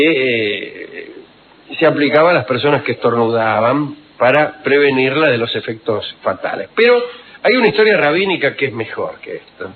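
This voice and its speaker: A man, telling historical anecdotes on the radio in an unhurried, wry, digressive way full of fillers. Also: mid-range voice, breathy edge. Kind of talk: rambling storytelling on radio. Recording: tinny phone-like radio recording.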